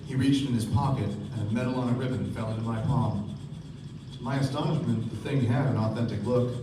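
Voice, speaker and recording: A man playing a polite, observant young gentleman who narrates a radio play on stage. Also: medium pitch, fairly quick, lightly acted. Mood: measured, reflective. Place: theater stage before an audience. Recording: echoey stage, distant mic, noisy room.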